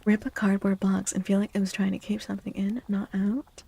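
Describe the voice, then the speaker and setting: A woman speaking softly and gently in a breathy, half-whispered ASMR style, like a livestreamer, often repeating phrases. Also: mid-range voice, completely flat delivery, fairly quick. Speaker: streamer and tarot reader. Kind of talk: soft-spoken ASMR livestream with casual chat.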